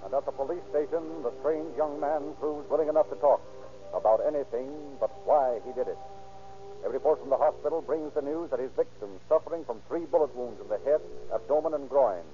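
Casting: male actor for serious crime narrator, mid-pitched and mellow, muffled vintage radio sound with music. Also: close to an everyday voice. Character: grave crime-story narrator who also voices an officer. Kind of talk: dramatic scripted narration, old radio. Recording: muffled old radio recording.

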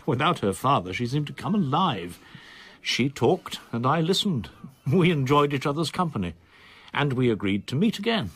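Solicitor in his sixties, radio drama, husky voice, expressive pitch, formal, reserved, measured. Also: dry recording. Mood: quietly confiding. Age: 60s. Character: reserved older male solicitor, in his sixties, quietly confiding.

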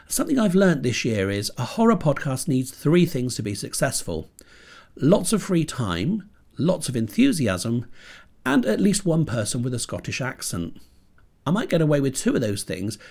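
A man from Britain hosts his horror podcast from his computer desk at home, with a stuffy nose from a cold. His pitch rises and falls a lot. His scripted delivery is dry and self-deprecating. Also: a little mellow. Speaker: podcast host.

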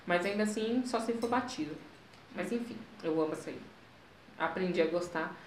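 A woman's voice: lowish voice, normal speed, a little hiss or hum. Chatty young woman, roomy sound. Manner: storytelling, acts out quoted voices. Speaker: podcast co-host. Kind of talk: casual chat.

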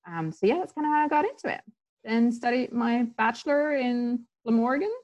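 A woman's voice: on the high side, lively intonation, brisk, darkish tone. A woman who grew up in Tanzania, a sports psychologist guest talking casually in an interview, rambling with many fillers and restarts.

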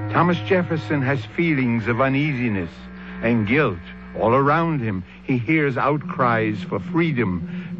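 A man narrating an old-time radio drama as host, in a portentous, theatrical, deliberate way. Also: medium pitch, background noise. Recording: dull old radio broadcast recording. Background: music.

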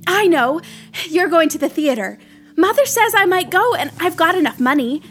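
A woman's voice: very high-pitched, very animated, normal speed, fairly bright tone, faint music behind, exaggerated voice. A woman acting a proud, petulant young girl, the youngest sister, in an audio play, with a whiny, dramatic and insistent delivery. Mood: pleading.

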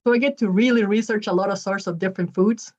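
A woman's voice: mid-range voice, fast, dull audio. A woman in her forties from Ecuador, a nonprofit leader chatting as a podcast guest, upbeat with frequent ums and restarts. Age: 40s. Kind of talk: podcast interview.

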